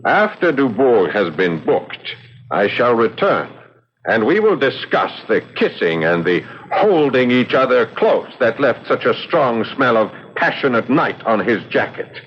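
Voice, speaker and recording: An actor playing an officious, jealous police sergeant in a 1970s radio drama, formal and pompous. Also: male voice, mid-range voice, big pitch swings, measured pace, slight background noise. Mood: jealous, stern. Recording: dull, lo-fi old radio recording.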